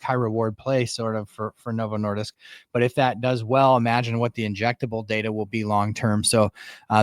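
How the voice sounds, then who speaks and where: A man's voice: medium pitch, dry recording, clean studio sound. A man hosting an informal news show, commenting in a conversational, earnest way and repeating points for emphasis. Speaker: host of a medical and pharma news show.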